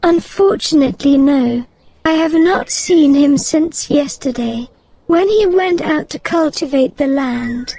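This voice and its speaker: A worried peasant wife from a storybook, acted by a woman, in a high but mellow voice. She speaks slowly, plaintive and earnest. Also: low-quality recording. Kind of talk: storybook character acting.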